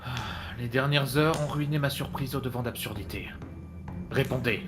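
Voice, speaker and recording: A man plays a troubled, bewildered adventurer in an audio drama. He speaks in agitated, halting questions, haunted by his memories. Music plays clearly behind the voice. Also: medium pitch, close to an everyday voice. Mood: angry, demanding.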